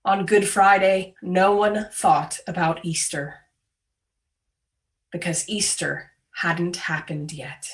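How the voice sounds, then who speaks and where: A woman speaks from some distance off the microphone, delivering solemn scripted prayer and reflection. Her voice sits a little low and moves extremely slowly, each short phrase given grave weight. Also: fairly even intonation. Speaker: worship leader. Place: online video call.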